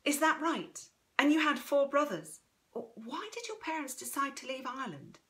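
A curious, earnest granddaughter voiced by a female narrator in a novel reading. The voice is bright and lifted high, gently inquisitive, affectionate and tactful. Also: very animated, moderate pace, full character voice.

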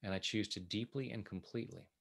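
A man with a low-pitched voice leads guided affirmations as a coach. He speaks deliberately, setting out one short phrase at a time so that each can be repeated. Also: vocal fry, husky. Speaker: tapping coach leading affirmations.

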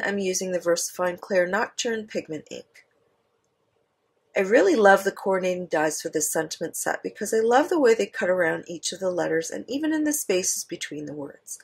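A woman's voice: fairly low pitch, creaky, slightly rough. A woman presenting an instructional craft voice-over, explaining things step by step. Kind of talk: instructional voice-over narration of a card-making demo.

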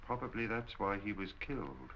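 An actor playing a courteous, shrewd gentleman detective in a measured, articulate 1940s film style. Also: fairly low pitch, sing-song. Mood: absorbed. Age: 50s. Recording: muffled, hissy 1940 film soundtrack.

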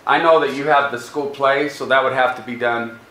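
A man's voice: mid-range voice, steady tone, a little fast, pushed and bright. An American man giving an informal report and answering questions as a school district staff presenter at a meeting. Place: indoor public board meeting room. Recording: echoey meeting room, faint background noise.

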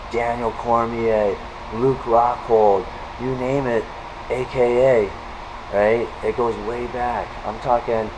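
A man's voice: medium pitch, background noise. A young man hosting and interviewing a fighter in a large indoor gym, hyping him up with enthusiasm. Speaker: interviewer and show host. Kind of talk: casual interview.

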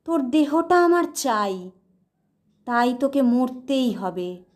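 A woman voicing a menacing, vengeful male ghost who speaks in slow, drawn-out threats. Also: high-pitched, lively intonation, a little mellow.

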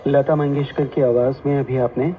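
Through a narrow, tinny radio signal thick with noise comes a male announcer. He presents in a formal music-programme style, at an average pitch for a man. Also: flat delivery, normal speed. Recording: tinny, very noisy AM radio reception.

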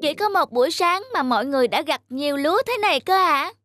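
A woman voicing a childlike, expressive young rabbit, sleepy, lazy and earnest, for a children's cartoon. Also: sits high, fast talker. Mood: amazed, earnest. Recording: background music under the voice.

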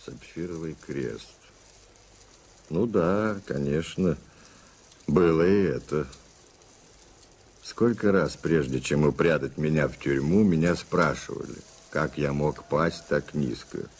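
An actor playing a sardonic, impatient reformed master criminal in a radio play, speaking with wry mockery in a husky voice. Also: male voice, rumbling low, a little mellow, exaggerated voice. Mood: wry, confessional. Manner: wry, mocking, deliberate. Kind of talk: 1980s radio play acting.

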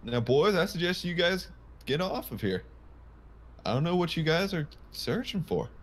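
A man plays a country boy in a game roleplay. The voice sits slightly high and stays natural, with a taunting, plain-spoken manner. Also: very animated, moderate pace. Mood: firm. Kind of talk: improvised online game roleplay.

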